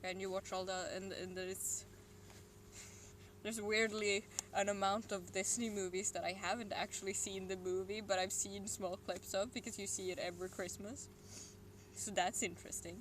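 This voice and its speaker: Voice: medium pitch, flat delivery, normal speed, a bit bright. A young adult from Sweden with a Swedish accent, chatting casually and rambling on a solo stream at home, with muttered and whispered asides. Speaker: livestreamer. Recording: noisy room ambience.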